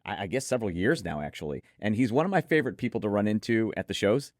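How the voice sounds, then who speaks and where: Male review co-host, lower register, opinionated and enthusiastic, conversational delivery, very dry sound. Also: animated, quick pace, no echo. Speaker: headphone show co-host reviewer. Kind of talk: conversational product review commentary.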